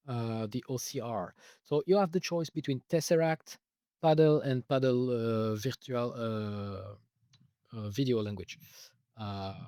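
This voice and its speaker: Dry-voiced man, halting walkthrough. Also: mid-range voice, a little mellow, dry recording. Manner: hesitant, many filler uhs, restarts. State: losing his voice. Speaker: software developer explaining his tool. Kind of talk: casual screen-recorded walkthrough.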